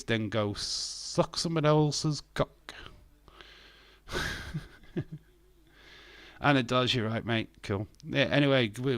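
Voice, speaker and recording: A man's voice: fairly low pitch, no room sound. A man in his fifties hosting a live stream, reading chat comments aloud and answering them bluntly with sarcasm and frequent swearing. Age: 50s.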